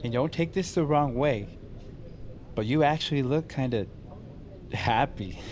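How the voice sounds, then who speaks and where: A man's voice: medium pitch, measured pace, darkish tone, lightly acted. An actor playing a friendly, teasing male coworker who talks in easy, slangy rambles, in an audio drama.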